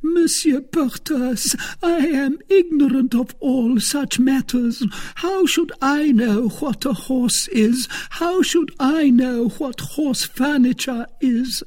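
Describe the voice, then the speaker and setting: A man's voice: very high voice, takes it slowly, few pauses, darkish tone, voice pushed up, heavily acted. A man voicing a flustered, anxious middle-class wife in an audiobook novel, pleading and apologetic. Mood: distressed, contrite.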